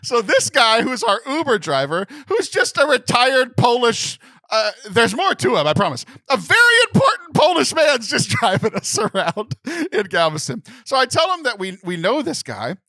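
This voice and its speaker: A young man co-hosting a podcast, telling a story in a lively, animated way. He laughs often and mimics other people's voices. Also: very high-pitched, moderate pace, no room sound. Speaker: podcast co-host.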